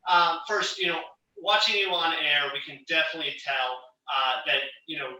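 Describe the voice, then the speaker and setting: A man's voice: fairly high pitch, steady tone, normal speed, sharp tone, slight background noise. A man in his thirties moderating an online youth talk from his living room on a headset, upbeat with lots of fillers. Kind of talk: hosting an online interview. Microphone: headset on a video call. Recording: roomy and distant.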